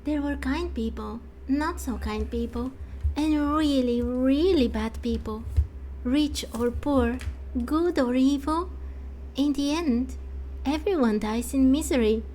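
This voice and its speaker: A woman's voice: high voice, takes it slowly, mellow, a little hiss or hum, rough recording. A woman voicing a chatty, self-assured little girl from a game, telling stories in a confiding, matter-of-fact way. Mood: matter-of-fact storytelling. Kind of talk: fan voice-over of game dialogue.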